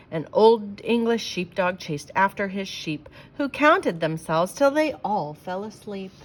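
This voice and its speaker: A woman reading rhyming verse aloud for children in an expressive, theatrical storytelling way, leaning on the rhymes. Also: fairly high pitch.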